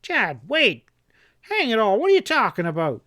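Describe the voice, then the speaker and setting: A man's voice: sits high, normal speed, no echo, clean studio sound, pitched above the performer's own voice. A man doing a whining cowardly outlaw. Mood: terrified. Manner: excited, whining. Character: scheming cowardly male outlaw, whining when scared.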